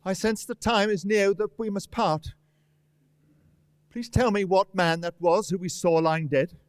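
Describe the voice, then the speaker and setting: Male actor as old miser, very high pitch, fearful, imploring, theatrical radio drama, dry sound. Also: fairly even intonation, a little slow, dry recording. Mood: anxious. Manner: imploring, declamatory. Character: frightened, pleading old miser, desperate to repent. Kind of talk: theatrical radio play acting.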